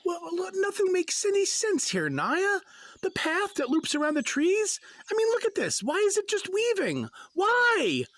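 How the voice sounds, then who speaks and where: A man's voice: extremely high pitch, huge pitch swings, measured pace, fairly bright tone, no echo, exaggerated voice. An exasperated, wry husband lost in a magical forest, played by a man in an audio drama. The man's voice is dry and close, rising and falling freely as he gets flustered or sarcastic. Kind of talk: fantasy audio drama acting.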